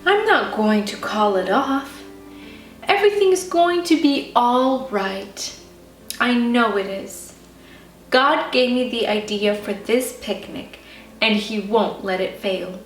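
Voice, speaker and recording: A woman's voice: fairly high pitch, animated, slow. A woman voicing an earnest, confident early-teen girl full of faith in a children's storybook reading. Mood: firm, sure. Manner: earnest, insistent. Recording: roomy, distant mic, faint music.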